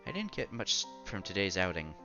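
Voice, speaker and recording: A man's voice: deep voice, big pitch swings, fairly quick, sharp tone, muffled recording. A man reading a visual novel aloud in a casual let's-play style, sometimes stumbling over words and repeating them. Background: game music.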